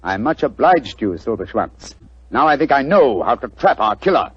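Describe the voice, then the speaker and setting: An actor playing a brisk, shrewd English gentleman detective, assured and authoritative, in the style of 1940s radio drama. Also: medium pitch, big pitch swings, heavy background noise, lightly acted. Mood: excited, commanding. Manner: crisp, authoritative, deductive. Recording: dull 1940s radio recording.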